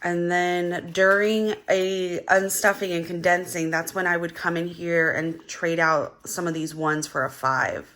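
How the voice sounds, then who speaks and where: Young woman, lowish bright voice, bit gravelly from just waking, chatty and rambly while counting bills. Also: little pitch movement, unhurried, hardly any pauses. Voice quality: gravelly early-morning voice. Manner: chatty, rambling, with many fillers and counting aloud. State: slightly hoarse from just waking. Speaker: budgeting vlogger. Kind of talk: casual chat while counting cash.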